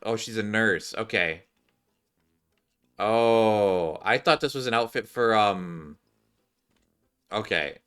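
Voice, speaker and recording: A man's voice: on the low side, takes it slowly, long pauses, fairly bright tone, smooth, soft background music. A man streaming a game, reading story dialogue aloud theatrically and dropping into casual asides. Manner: theatrical, switches between character voices and casual asides.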